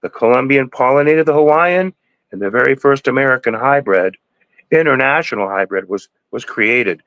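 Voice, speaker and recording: A man's voice: medium pitch, animated, normal speed, a bit bright, no echo, poor audio. Guy in his sixties rambling on a podcast, stops and restarts a lot, dead dry mic. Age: 60s. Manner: rambling, preachy, lots of restarts. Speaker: podcast guest, cannabis breeder. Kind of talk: casual interview talk.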